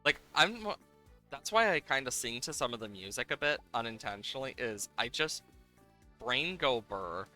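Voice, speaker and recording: A man's voice: medium pitch, animated, moderate pace, pushed and bright. A man giving casual, playful live commentary on a game, thinking aloud as he plays, with game music behind him. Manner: playful, hums and makes sound effects while talking.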